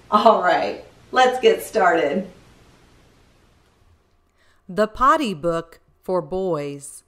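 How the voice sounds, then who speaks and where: A middle-aged woman hosting a children's show, reading aloud expressively and deliberately in a sing-song way for toddlers. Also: mid-range voice, a bit bright.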